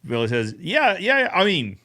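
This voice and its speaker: A man's voice: high-pitched, wildly swooping pitch, normal speed, sharp tone. A man co-hosting a casual recap chat, often retelling conversations by quoting both sides. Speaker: podcast co-host.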